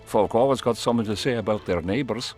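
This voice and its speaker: An actor playing a plain-spoken, loyal soldier in a fantasy game, measured and folksy. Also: male voice, lowish voice, a little mellow, faint music behind, lightly acted. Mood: wary, affable. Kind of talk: video game dialogue acting.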